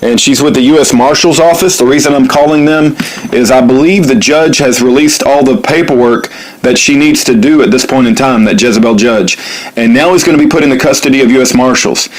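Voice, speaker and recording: A man speaking informally and earnestly, as if leaving a phone message or narrating one, in a halting, repetitive, pleading way. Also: mid-range voice, poor audio. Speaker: activist video maker recording himself making a phone call.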